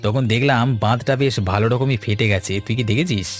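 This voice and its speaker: An earnest village man, played by a male voice actor in a folk-tale cartoon. His pitch sits in the middle range and his delivery is deliberate, with music playing underneath. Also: natural voice. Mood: concerned. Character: earnest village fisherman and his worried neighbours, adult men. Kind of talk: Bengali cartoon voice acting, folk-tale dialogue.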